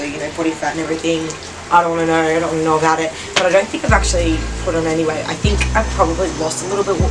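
A woman's voice: sits low, far from the mic, rough recording. A young woman, chatting in a bubbly, upbeat way to a fixed camera in her living room. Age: young adult. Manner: bubbly, chatty, laughing often. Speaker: vlogger. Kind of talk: upbeat sit-down vlog.

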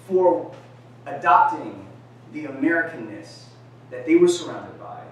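A man in his thirties giving a casual presentation at a talk event, speaking conversationally and leaning on "right?" and filler words. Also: on the high side. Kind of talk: lecture presentation. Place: gallery-like room with a screen. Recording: echoey room recorded from far off.

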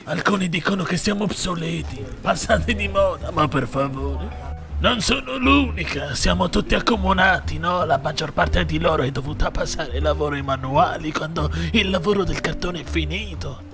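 A man voicing a bitter, world-weary middle-aged cartoon wife who grumbles in a deadpan, creaky way, over music. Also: fairly high pitch, normal speed. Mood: resigned. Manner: grumbling, deadpan, foul-mouthed. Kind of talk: mock-documentary cartoon confession, Italian dub parody.

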